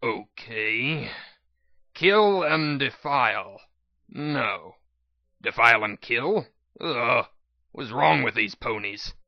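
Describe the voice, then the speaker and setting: A man's voice: mid-range voice, slow, vocal fry, long pauses, dry recording, full character voice. Man voicing a sardonic, weary male pony, slightly muffled. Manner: sardonic, deadpan.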